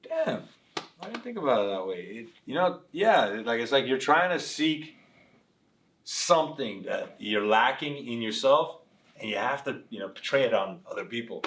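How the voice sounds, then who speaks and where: Young man, 20s, chatty, lots of fillers, lively pitch, slightly distant from the mic. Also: normal speed. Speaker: interview participant. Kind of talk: casual group discussion.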